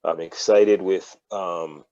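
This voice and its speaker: A man's voice: low voice, steady tone, slow pace, mellow, no room sound, lo-fi sound. A man speaking as a podcast guest in an earnest, thoughtful way, often repeating words as he thinks. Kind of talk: casual podcast chat.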